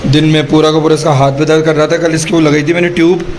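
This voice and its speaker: A hoarse man chatting casually to viewers in a family vlog at home, lively and teasing with his kids. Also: on the high side, quick pace. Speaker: family vlogger. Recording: noisy home recording.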